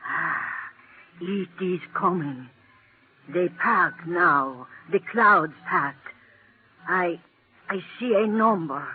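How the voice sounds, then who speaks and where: An aged female crystal gazer, mysterious and solemn. She speaks very slowly and haltingly, on a phone-like vintage radio recording. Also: lowish voice, husky, exaggerated voice. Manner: slow, halting, solemn pronouncements. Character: old fortune teller woman, grave, mysterious, crystal gazer. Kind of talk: 1940s radio acting. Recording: muffled, narrow-band vintage 1940s radio recording.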